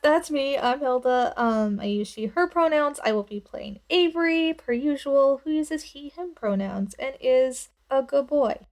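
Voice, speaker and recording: A woman's voice: high-pitched, lively intonation, a little slow, few pauses, darkish tone. A woman who plays on a tabletop podcast, chatting casually and bantering with the group. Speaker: podcast player.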